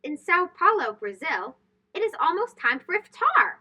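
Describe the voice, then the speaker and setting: A woman reading a picture book aloud to young children in an expressive, sing-song, emphatic way. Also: very high voice, moderate pace. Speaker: children's read-aloud host. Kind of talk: animated storybook read-aloud for young children.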